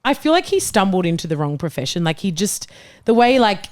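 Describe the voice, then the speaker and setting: A woman's voice: mid-range voice, sing-song, a little fast, a little mellow. A woman on a football panel chatting casually, blunt and sweary with plenty of slang. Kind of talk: casual chat.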